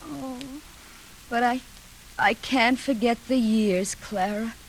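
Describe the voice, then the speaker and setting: An actress playing a deranged, childlike grey-haired sister in an old radio thriller, halting and dreamy, quietly menacing. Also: female voice, on the high side. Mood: wistful menace. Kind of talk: 1940s radio suspense acting. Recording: noisy old radio drama recording.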